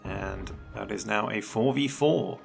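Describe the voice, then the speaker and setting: A man commentating a video game match live, chatty and analytical, his voice a little croaky. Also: fairly low pitch, unhurried, soft background music. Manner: chatty and analytical, laughs often. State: slightly croaky voice. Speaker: esports commentator.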